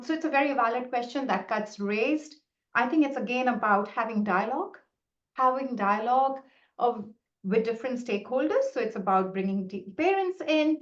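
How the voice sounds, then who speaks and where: Hindi-speaking woman on a roomy, muffled call. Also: high-pitched. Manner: earnest, explanatory, with frequent restarts. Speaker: researcher presenting findings. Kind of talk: webinar answer. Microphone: video call.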